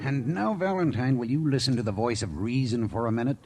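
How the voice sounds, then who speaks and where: An actor playing a folksy, dismissive small-town sheriff in 1940s radio drama style. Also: male voice, medium pitch, fairly quick, loud music behind the voice, natural voice. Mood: persuasive, weary. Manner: folksy, plain-spoken, dismissive. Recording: old radio drama recording.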